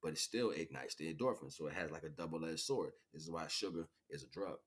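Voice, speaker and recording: A man's voice: rumbling low, fairly quick, breathy edge. A man in his forties hosting an informal livestream monologue, talking in a rambling, slangy way, repeating phrases and checking in with the listener. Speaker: livestream host.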